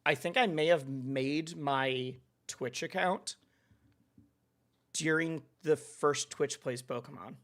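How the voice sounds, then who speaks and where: A man's voice: a little high, slow pace, a bit bright. A young man hosting a live stream, chatting casually and laughing often as he reads out follows. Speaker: streamer host.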